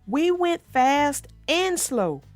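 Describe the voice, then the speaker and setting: A woman's voice: high voice, big pitch swings, drawn-out words, soft background music, pitched above the performer's own voice, exaggerated voice. A woman voicing proud, friendly talking trains from a children's storybook in a bold, exclamatory way. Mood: proud, excited.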